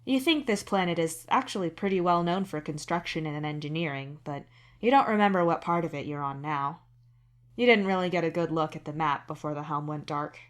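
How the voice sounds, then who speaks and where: Slightly low-voiced woman narrating fiction. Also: brisk. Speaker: podfic narrator and author. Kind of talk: scripted second-person story narration.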